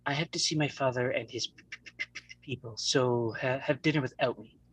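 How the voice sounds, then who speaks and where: Guy doing a calm young mob boss w a heavy stutter, halting delivery, really dry sound. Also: medium pitch, breathy edge, dry recording, poor audio. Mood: placating. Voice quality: stutter on repeated consonants. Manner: halting, deliberate. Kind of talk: live table read of a crime film script.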